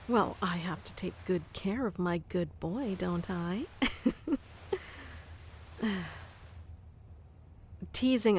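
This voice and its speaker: A woman doing a soft-spoken ASMR roleplay, teasing and commanding yet doting, close to the mic. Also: lowish voice, gravelly, a little hiss or hum. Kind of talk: ASMR roleplay, playing a bossy affectionate boss.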